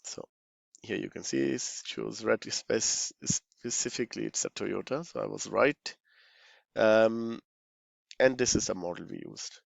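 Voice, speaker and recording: A man with a lowish voice full of heavy fry walks through software in an informal, instructional way. His delivery is very slow and halting, as he thinks aloud and mutters to himself while he works. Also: no echo.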